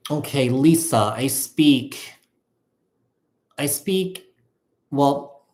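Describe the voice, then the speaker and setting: A man giving a casual live tarot reading, thinking aloud in a halting, repetitive way. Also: mid-range voice, a little mellow. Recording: echoey, roomy sound.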